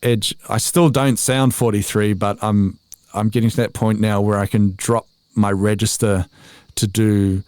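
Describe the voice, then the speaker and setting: A man's voice: on the low side, little pitch movement, a little slow, darkish tone, clear, resonant tone, dry recording. A man in his forties with an Australian accent chats easily as a podcast guest. He pokes fun at himself, often stumbles and restarts, and laughs along the way. The recording is dry and close, with no room sound. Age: 40s. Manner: chatty, self-deprecating, frequent restarts and laughs. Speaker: voiceover artist, podcast guest. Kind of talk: casual interview chat.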